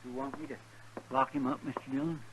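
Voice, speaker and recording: A mid-pitched, drawling country sidekick, acted by a man in an old radio western. His rural American speech is folksy, heard through clearly noisy, crackly vintage sound. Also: level tone, quick pace, lightly acted. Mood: dutiful.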